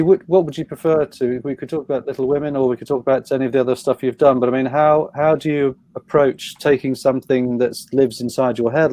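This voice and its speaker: Guy interviewing a writer on a call, mellow dark voice, dry sound, rambly hesitant questions. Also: mid-range voice, dry recording. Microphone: video call.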